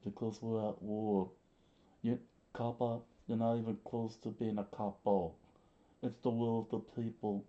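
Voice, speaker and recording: A man's voice: fairly low pitch, level tone, unhurried, natural voice. A man voicing a stern young rebel leader, firm and dismissive, on a dull lo-fi recording.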